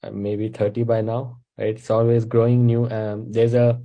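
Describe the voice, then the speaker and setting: Mellow-voiced young Indian man explaining. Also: mid-range voice, normal speed, muffled recording. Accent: Indian English. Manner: explains in steady steps, with frequent uh fillers. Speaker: stand-up comedian, interview guest. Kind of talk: casual interview chat.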